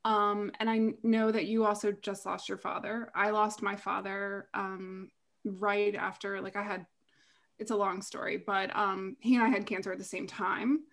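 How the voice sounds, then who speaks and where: A woman's voice: medium pitch, flat delivery, normal speed, gravelly, fairly bright tone. A woman from Virginia, a podcast guest telling her story openly in easy conversation. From Virginia, USA. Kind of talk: casual conversation.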